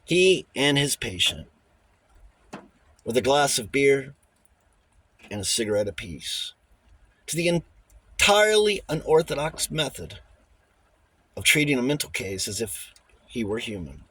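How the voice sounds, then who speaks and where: A man with a husky voice reading a novel aloud as an audiobook narrator. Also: medium pitch, very animated, a little slow, long pauses, fairly bright tone, no echo, rough recording. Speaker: audiobook reader. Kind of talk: scripted novel narration with character dialogue.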